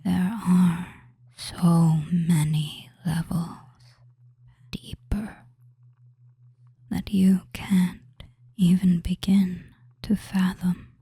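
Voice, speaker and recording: A person whispering a soothing hypnosis roleplay in ASMR style as a doting, controlling fantasy witch, with drawn-out phrases and pauses. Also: medium pitch, little pitch movement, dark tone, dry recording. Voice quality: breathy, mostly unvoiced whisper.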